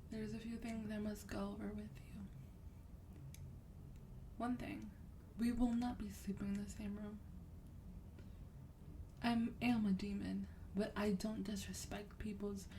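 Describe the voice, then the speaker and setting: A woman playing a sweet, teasing vampire demon in a gentle, breathy, partly whispered ASMR roleplay. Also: medium pitch. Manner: gentle, polite, unhurried, coaxing. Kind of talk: soft-spoken ASMR roleplay. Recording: distant mic, clear background noise.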